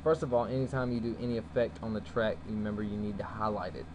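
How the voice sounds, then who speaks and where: A man giving a casual, folksy software tutorial as its host. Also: fairly low pitch, fairly even intonation, fast. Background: background noise.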